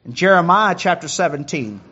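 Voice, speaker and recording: A man's voice: a little high, animated, a little fast, sharp tone, breathy edge, dull audio. A man preaching a sermon who often repeats words as he builds a point.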